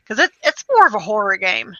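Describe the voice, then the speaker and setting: A woman chatting casually about video games, telling stories in an animated way with acted-out quotes and the odd swear word. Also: medium pitch, fairly quick, no room sound, highs cut off. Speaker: podcast host.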